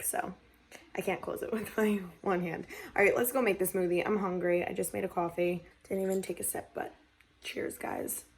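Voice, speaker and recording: A young woman with a raspy, tired voice, chatting casually to her viewers in a home vlog. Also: mid-range voice, roomy sound, distant mic, slight background noise. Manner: chatty, rambling, talks to viewers as friends. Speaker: vlogger. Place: indoors at home.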